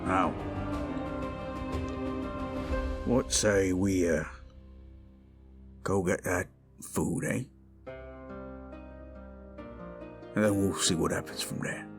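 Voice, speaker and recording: A man playing a flirtatious, bashful orc blacksmith in a romantic audio roleplay, murmuring tender, halting sweet talk. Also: low-pitched, steady tone, over music.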